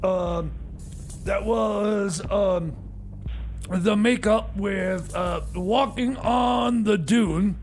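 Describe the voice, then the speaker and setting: A high, creaky male voice put on by a man hosts community radio over faint noise. He is a flustered, grumpy bumbler who stammers, trails off and rambles slowly between songs. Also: heavily acted. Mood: flustered, bewildered. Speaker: radio show host and DJ. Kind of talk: comedic community radio DJ chatter.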